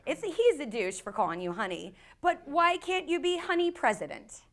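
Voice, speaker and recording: A woman's voice: high-pitched, very animated, normal speed, background noise. A woman with an American accent, a guest improv teacher speaking bluntly and profanely in a casual podcast interview.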